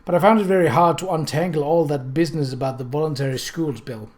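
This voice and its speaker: A man's voice: fairly high pitch, fast, a little mellow, natural voice. A reserved lord from a period novel, voiced by a male reader. He speaks mildly and politely, with a courteous manner. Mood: weary. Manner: mild, courteous. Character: mild, polite, reserved married English lord.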